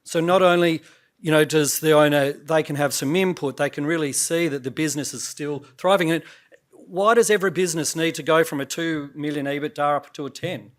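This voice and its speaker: A man, a company CEO, pitching on stage at an investor event, his voice a little croaky and his talk loose, full of fillers and restarts. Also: a little fast. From Australia. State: slightly croaky voice.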